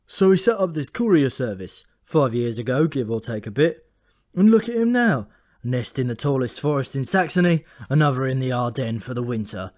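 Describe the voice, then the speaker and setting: A man's voice: mid-range voice, sing-song, normal speed, a little mellow, dry recording, clean studio sound, lightly acted. A man voicing a short-tempered, world-weary male raven with a blunt, irritable, colloquial manner, in a comic fantasy audiobook. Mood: fed up.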